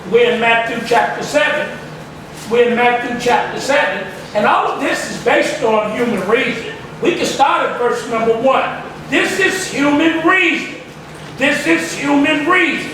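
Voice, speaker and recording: A man in his sixties preaching a Bible lesson emphatically, repeating his phrases insistently. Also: extremely high pitch. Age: 60s. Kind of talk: sermon, Bible teaching. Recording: echoey room, distant mic, faint noise.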